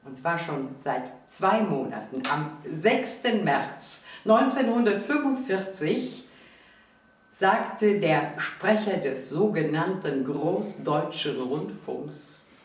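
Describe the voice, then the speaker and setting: Slow-paced woman hosting in a very echoey room. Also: low voice, lively intonation, a little hiss or hum. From Cologne, Germany. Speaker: host of a local talk show. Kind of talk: spoken introduction and interview chat. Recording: very echoey room, distant microphone.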